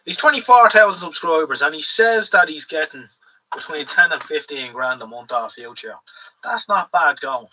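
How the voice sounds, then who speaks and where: Bright, husky Irish man rambling. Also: on the high side, lively intonation, moderate pace. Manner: rambling, chatty, swears freely. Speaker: livestream host. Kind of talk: casual livestream chat. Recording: voice a bit far from the mic.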